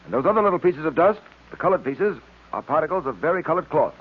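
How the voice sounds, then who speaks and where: An American actor playing a confident, brainy police detective in an old radio serial, explaining things with composure. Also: male voice, a little high, lively intonation, quick pace, slightly rough. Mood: instructive, composed. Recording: tinny, band-limited old radio recording.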